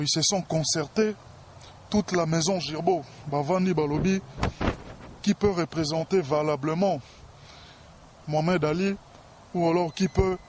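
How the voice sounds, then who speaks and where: A man's voice: a little high, a little mellow, a little hiss or hum. A man with a Congolese accent speaking in a pompous, boastful, self-important way in a talk-show interview.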